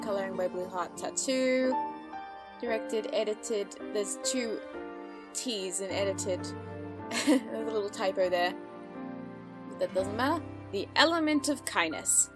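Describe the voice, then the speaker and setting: A woman's voice: medium pitch, a little slow. A woman giving a casual, rambling reaction commentary, thinking aloud as she watches. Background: animated audio drama playing with music.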